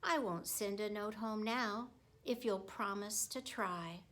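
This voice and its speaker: Woman reading as a firm, bright-voiced schoolteacher. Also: mid-range voice, slow. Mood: firm, coaxing. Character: strict, brisk female schoolteacher in a children's storybook.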